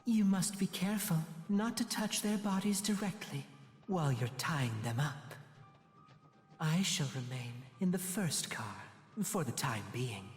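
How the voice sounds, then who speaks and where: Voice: medium pitch, expressive, unhurried, close to an everyday voice. An actor voicing a dreamy, sadistic demon in an anime game, speaking with languid, polite delight. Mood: softly menacing. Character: dreamy, sadistic, softly gloating demon who savors despair. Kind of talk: theatrical anime game voice acting. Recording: echoey hall reverb, music behind.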